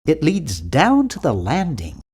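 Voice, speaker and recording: A man's voice: fairly high pitch, wildly swooping pitch, a little slow, a little mellow, husky, full character voice. A man voicing a nerdy, timid, fussy young hero of a cartoon adventure game, with a prim, wry, bookish delivery. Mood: cautious. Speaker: game character voice actor.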